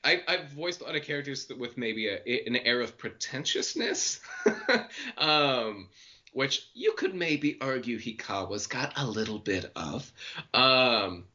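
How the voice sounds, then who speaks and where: A man in his twenties with a deep voice, a voice actor guest chatting casually and laughing easily. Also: a little slow. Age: 20s. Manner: relaxed, self-deprecating, laughs easily. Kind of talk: casual podcast interview. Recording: dull lo-fi podcast audio.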